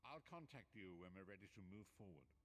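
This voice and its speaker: Over clear background noise, a wise mentor speaks in a fairly low voice. He is stately, solemn and deliberate, guiding a hero in a fantasy game. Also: lively intonation. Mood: calm, solemn. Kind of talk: scripted video game dialogue.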